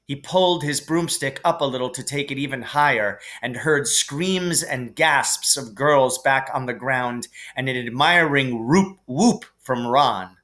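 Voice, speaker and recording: A man reading a children's novel aloud as expressive story narration, like a teacher reading to a class. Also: medium pitch, a little slow, few pauses.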